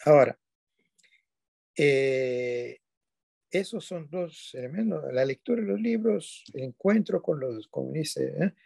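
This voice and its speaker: A man's voice: fairly high pitch, lively intonation, unhurried, gravelly, a little mellow, breathy edge, no room sound, studio quality. An older man whose first language is not Spanish, giving an online lecture from a dim room at home over a webcam, digressing and often self-correcting with tag questions. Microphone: video call webcam.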